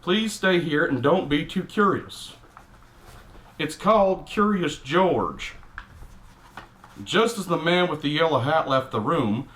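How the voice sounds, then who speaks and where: A man's voice: fairly high pitch, fairly bright tone. A man putting on a cranky middle-aged Texan dad with a Texan accent, reading a picture book aloud with blunt, exasperated asides. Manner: grumpy, exasperated, blunt, mildly profane. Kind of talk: comic character storybook reading with grumbling asides.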